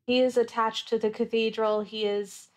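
A woman's voice: a little high, level tone, moderate pace. Woman thinking aloud as tabletop player. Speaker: player in an actual play podcast. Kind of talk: casual improvised tabletop roleplay talk.

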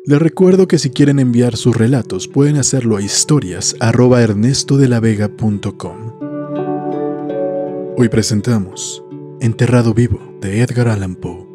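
A man narrating a scripted horror story in a solemn, deliberate, dramatic way, letting phrases trail off softly. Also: medium pitch. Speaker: host and narrator of a story series. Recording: music behind the voice. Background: music.

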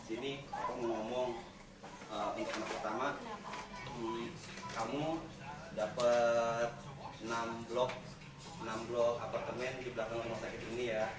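A man's voice: medium pitch, level tone, unhurried, long pauses. A young man doing a stand-up comedy routine in an office, telling stories and slipping into character voices. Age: young adult. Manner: storytelling with acted-out character voices. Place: large open-plan office, indoors. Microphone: far camera. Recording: roomy office sound from far off. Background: office ambience and colleagues.

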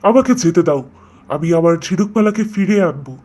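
A man's voice: high voice, lively intonation, normal speed, a little mellow. A man playing a devoted young romantic prince in a cartoon fairy tale, speaking earnestly and dramatically. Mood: desperate.

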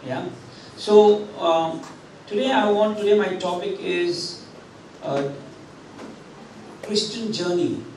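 A man's voice: high-pitched, takes it slowly, pauses often, a little mellow, slight background noise. Echoey preaching man with handheld mic. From India. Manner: conversational preaching, frequent fillers and restarts, rising emphasis. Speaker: pastor. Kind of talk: sermon. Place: church hall with drum kit. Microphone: handheld microphone. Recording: very echoey hall, picked up from a distance.

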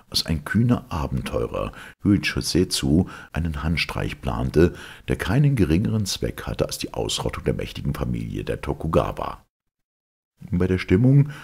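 A man reading a legend aloud as a narrator, in a measured, formal storytelling delivery. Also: a bass voice, vocal fry, darkish tone, breathy edge.

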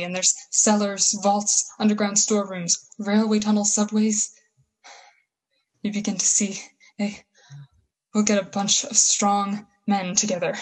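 A woman playing a bitter, scheming survivor soldier in a radio play, talking in slangy, halting, emphatic phrases. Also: medium pitch, close to an everyday voice, low-quality recording. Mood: fervent, scheming. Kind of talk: 1930s-style radio play acting.

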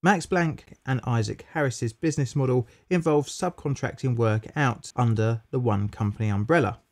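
Measured male documentary narrator. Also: mid-range voice. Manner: measured, matter-of-fact storytelling with stressed key words.

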